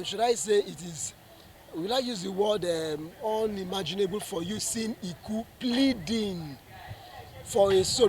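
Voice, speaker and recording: A man with a Nigerian accent, a stage actor being interviewed, explaining earnestly with emphatic, halting phrasing. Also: sits high.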